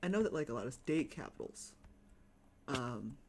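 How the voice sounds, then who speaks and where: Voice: mid-range voice, animated, normal speed, husky. A streamer with a Chicago accent, chatting casually and rambling while playing a game at home. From United States. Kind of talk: casual live-stream chat while gaming.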